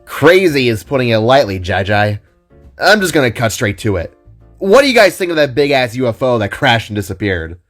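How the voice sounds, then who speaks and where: Man voicing a blunt, slangy young friend, lively pitch. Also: brisk, soft background music. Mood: blunt, skeptical. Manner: direct, casual. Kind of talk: audio drama character voice.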